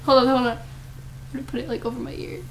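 A woman's voice: high voice, little pitch movement, racing, heavy background noise. A young woman co-hosting a let's play, chatting casually in a small room. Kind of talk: casual gameplay chat. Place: small dim room indoors. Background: game music.

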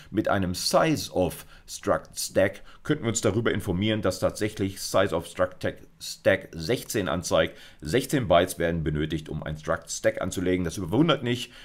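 A middle-aged man giving an informal video lecture, explaining conversationally with colloquial asides. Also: low-pitched. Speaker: university lecturer. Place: indoors at a computer, recorded lecture.

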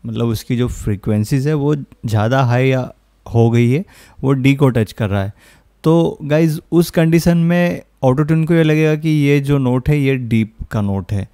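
Clear, smooth man explaining software. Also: medium pitch, unhurried, dry recording, clean studio sound. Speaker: music production tutorial host. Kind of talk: informal screen-recorded software tutorial. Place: close, dry indoor voice-over.

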